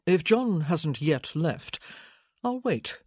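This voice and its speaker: A woman voicing a young gentleman rake in an audiobook, speaking with wry, restrained feeling. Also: sits low, big pitch swings, takes it slowly, dry recording, clean studio sound, exaggerated voice. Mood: casual. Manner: murmuring, gasping, restrained. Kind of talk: dramatic audiobook character acting.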